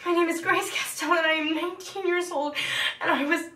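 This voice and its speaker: Young actress as a shaken nineteen-year-old student; high, halting delivery, recorded distant and roomy. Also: female voice, fairly even intonation, bright, forceful tone. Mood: halting, rehearsing. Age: young adult. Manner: halting, repeating herself, rehearsing. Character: traumatized young woman college student.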